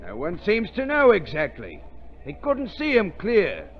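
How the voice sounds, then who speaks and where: A man's voice: high-pitched, wildly swooping pitch, unhurried, heavily acted. An actor playing a deferential subordinate reporting to his chief, plain-spoken, in 1930s radio drama style. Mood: puzzled. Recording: muffled old 1930s radio recording.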